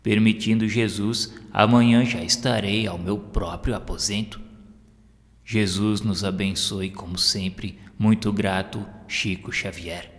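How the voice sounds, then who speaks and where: A man's voice: on the low side, steady tone, a little slow. A Brazilian man voicing a humble male spiritist medium in an audiobook, polite and formal. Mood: courteous. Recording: long hall reverb, music underneath.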